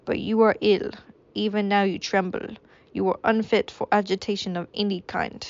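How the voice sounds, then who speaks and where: A kindly magistrate speaks slowly and sympathetically, his speech carrying a foreign accent, as a woman puts him on. His voice sits at a middling pitch for a woman's and comes through muffled and dry, with no room around it. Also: steady tone, a little mellow, no room sound, close to an everyday voice. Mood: sympathetic.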